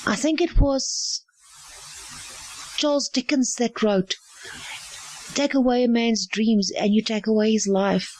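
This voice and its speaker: A woman's voice: a little high, fairly even intonation, takes it slowly, long pauses, a little mellow, slight background noise, poor audio. A middle-aged South African woman whose first language is Afrikaans, speaking earnestly and repeating phrases for emphasis. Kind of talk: earnest spoken testimony.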